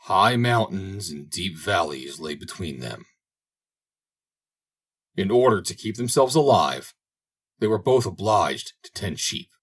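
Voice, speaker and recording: A man narrates a fairy tale in a fairly low, husky voice. His delivery is expressive and dramatic, with pitch that moves widely from phrase to phrase. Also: slow, long pauses. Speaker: audiobook reader.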